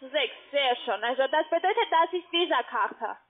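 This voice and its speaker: A female call centre agent, not a native speaker, asking halting sales questions. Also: high-pitched, lively intonation. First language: not German. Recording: tinny phone line.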